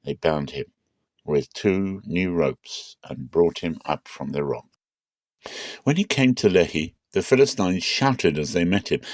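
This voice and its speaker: A man narrating the Bible aloud as a dramatic reading, in a measured, solemn and expressive storytelling manner. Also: low-pitched, dry recording, clean studio sound.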